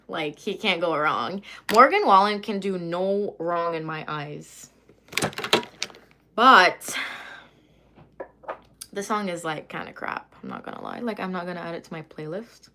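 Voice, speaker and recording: Woman streamer, relaxed and rambling, chats with viewers while doing her makeup at home. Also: on the low side, measured pace. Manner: chatty, rambling, many fillers and asides. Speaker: livestreamer answering chat. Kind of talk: casual livestream chat while doing makeup.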